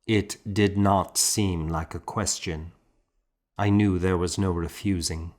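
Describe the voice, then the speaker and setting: A man reading a historical novel aloud in a measured, solemn, first-person storytelling manner. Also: deep voice, a little mellow. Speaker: audiobook narrator.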